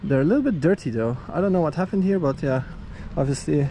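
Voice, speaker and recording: Man from belgium in his thirties, fairly high voice, casual travel vlog, camera at arm's length, faint outdoor noise. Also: animated, fast, warm tone. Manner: chatty, laughs often while exploring on foot. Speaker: travel vlogger. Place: outdoor stadium and its corridors. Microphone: action camera held at arm's length.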